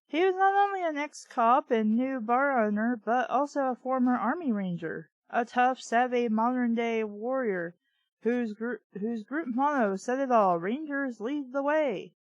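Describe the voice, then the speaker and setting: A woman's voice: fairly high pitch, animated, a little slow, no echo, dull audio. A woman reading fiction aloud and breaking in with sarcastic, irreverent, exasperated commentary. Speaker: book review host.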